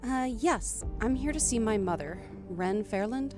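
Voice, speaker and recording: A woman playing an anxious, grieving daughter who narrates her own thriller in a somber, measured, introspective way. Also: on the high side, lively intonation, over music. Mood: polite, subdued. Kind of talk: dramatic first-person audio drama narration.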